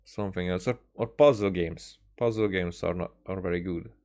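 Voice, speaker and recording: A man streaming games, chatting casually in a hesitant, halting way as he thinks aloud. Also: low-pitched, a little mellow. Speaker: game streamer. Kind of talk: casual livestream chat. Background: music.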